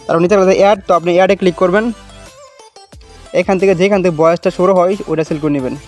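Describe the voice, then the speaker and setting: Bangladeshi guy doing a casual how-to voiceover, talks super fast, highish voice, music under it. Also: darkish tone. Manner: colloquial, repetitive instructional phrasing. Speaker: tutorial video host. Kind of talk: casual step-by-step screen tutorial.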